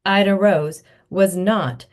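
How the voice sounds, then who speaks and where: A woman's voice: medium pitch, takes it slowly. A woman author reading her children's novel aloud, telling the story expressively. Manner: expressive storytelling, acting out the dialogue.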